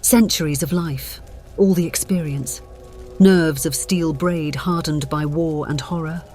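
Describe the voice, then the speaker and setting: A woman playing a centuries-old, conflicted vampire, narrating gravely in a measured, theatrical way. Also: deep voice, steady tone, darkish tone. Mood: brooding. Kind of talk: dramatic scripted narration. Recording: dramatic music behind the voice.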